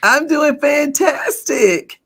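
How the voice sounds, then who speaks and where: A woman's voice: high voice, lively intonation, slow pace, fairly bright tone. A woman hosting a podcast in a casual, effusive way, laughing often. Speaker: podcast host. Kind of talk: casual chat.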